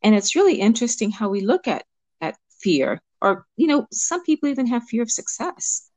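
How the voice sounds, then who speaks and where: A woman's voice: on the high side, very animated, dry recording. Middle-aged cuban-born coach lady, thoughtful, lots of ums, dry mic. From Cuba. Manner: warm, reflective, pauses with ums. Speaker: empowerment coach, guest. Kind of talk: interview conversation.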